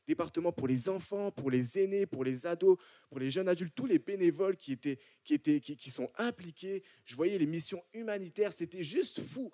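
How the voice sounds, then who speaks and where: Mellow Frenchman preaching a sermon. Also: sits high, brisk, no echo, studio quality. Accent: French from France. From France. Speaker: church preacher. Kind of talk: sermon to a congregation.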